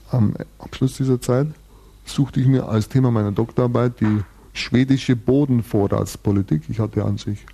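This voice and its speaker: Man in his sixties, interviewee, very dark, husky voice, storytelling with dry wit. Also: on the low side. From Bavaria, Germany. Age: 60s. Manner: thoughtful, long-winded, restarts and fillers, dry humor. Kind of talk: reflective personal storytelling in a radio interview.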